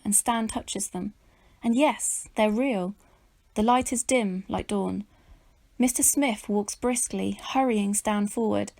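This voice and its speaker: A woman reading a children's story aloud as expressive narration, with a dramatic, measured delivery. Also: on the high side, vocal fry, no echo.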